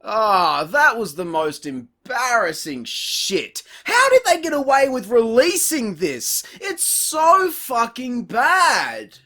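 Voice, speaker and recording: A man's voice: very high voice, wildly swooping pitch, slow, few pauses, sharp tone. A man hosting a comedic video review, narrating a script with sweary, exasperated sarcasm. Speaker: host of a video review show.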